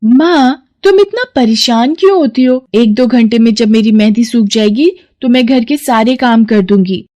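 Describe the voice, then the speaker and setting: A woman voicing a gentle young daughter who coaxes and pleads with her mother. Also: on the high side, normal speed, hardly any pauses, close to an everyday voice. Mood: sweet. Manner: coaxing, pleading.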